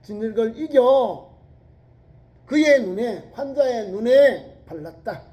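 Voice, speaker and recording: A middle-aged male pastor with a regional Korean accent delivers a sermon in a soft, mellow voice. He leans on rhetorical questions and swells to near-shouts when quoting scripture. The sound carries the roomy echo of a church sanctuary. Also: very high-pitched, animated, slow. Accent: Korean regional dialect. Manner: emphatic preaching with rhetorical questions, rising to shouts on quoted scripture.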